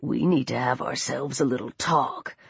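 A woman voicing a gruff, lecherous older male bully in an audiobook, snarling and slowly drawling. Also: rumbling low, dry recording, muffled recording, voice pushed down, full character voice. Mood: menacing. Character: menacing older bearded man, gruff thug, lecherous bully.

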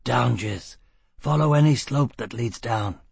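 This is a blunt, stubborn man who pushes ahead through the dark and grunts out his commands, as a male narrator acts him out. The voice is husky and sits close to the narrator's own middle pitch. It is read in a dry, close recording. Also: measured pace, dry recording. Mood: terse. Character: gruff, blunt, stubborn man escaping a prison.

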